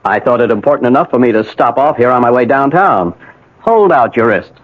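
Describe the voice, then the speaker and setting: An actor playing a brisk, bossy doctor, blunt and authoritative, in a radio drama. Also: male voice, medium pitch, animated, lightly acted. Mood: brusque. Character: brisk, bossy male doctor and old friend. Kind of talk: 1980 radio drama acting. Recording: muffled old radio recording.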